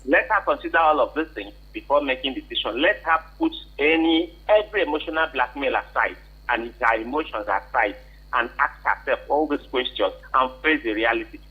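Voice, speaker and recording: A man's voice: medium pitch, lively intonation, brisk, breathy edge. A man with a Nigerian accent giving unscripted advice as a radio caller, insistent and questioning, repeating points for emphasis. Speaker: call-in contributor. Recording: muffled, tinny phone line.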